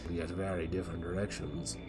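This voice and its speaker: A man giving a dramatic first-person reading as his character, swinging between loud emphatic peaks and quiet low endings. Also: little pitch movement, brisk, faint music behind. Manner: theatrical, rising to loud emphatic peaks then dropping low. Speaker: player reading character backstory.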